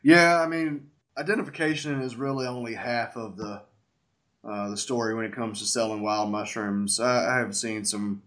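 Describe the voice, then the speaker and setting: A man's voice: fairly low pitch, fairly even intonation, normal speed, fairly bright tone. A man with a stuffy nose from a head cold, hosting a casual interview and asking curious, chatty questions. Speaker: podcast host, wild mushroom forager.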